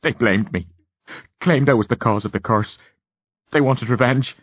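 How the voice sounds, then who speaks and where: A man's voice: medium pitch, normal speed, husky, no echo, voice pushed up, natural voice. A troubled, exhausted man as a male audiobook narrator plays him, brooding and earnest. The recording is dry and slightly muffled. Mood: shaken, embarrassed. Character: weary, troubled man shaken after a nightmare.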